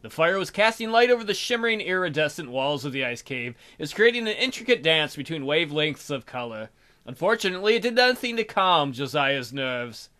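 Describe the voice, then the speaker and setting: A man's voice: on the high side, big pitch swings, a little fast, sharp tone. A man hosting a let's play, reading game text aloud expressively and adding casual jokey commentary.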